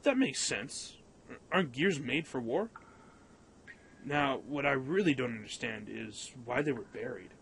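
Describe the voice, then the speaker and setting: A man playing a level-headed, sceptical young pilot in an audio drama, with a measured, questioning delivery. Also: medium pitch, animated, vocal fry, slight background noise. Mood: puzzled. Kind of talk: fan audio drama acting.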